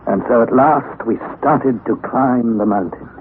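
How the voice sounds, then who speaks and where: A young man narrating his adventure in first person for a 1960s radio drama, measured and careful. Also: medium pitch. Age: young adult. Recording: tinny old radio broadcast with hiss.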